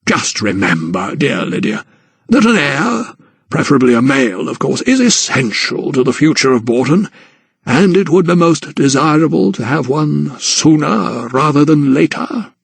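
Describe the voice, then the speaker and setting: A man's voice: a little high, big pitch swings, gravelly, husky, no room sound, exaggerated voice. Man doing a conniving old aristocrat. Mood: insistent. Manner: slow, drawn-out, patronizing. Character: conniving older aristocratic gentleman, meddling guardian.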